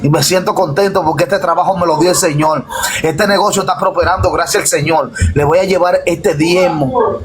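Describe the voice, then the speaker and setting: A man's voice: fairly high pitch, fairly even intonation, moderate pace. A man preaching informally as a pastor in a live discussion, emphatic and repetitive, over noisy live stream audio.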